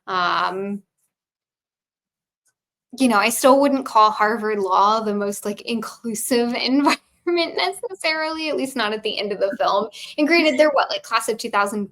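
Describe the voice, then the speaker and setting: Reflective woman with a high voice. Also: expressive, normal speed, a bit bright. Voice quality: high-pitched voice. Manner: reflective, with many fillers like "you know" and "um". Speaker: podcast co-host. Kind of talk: casual chat about a film and tarot.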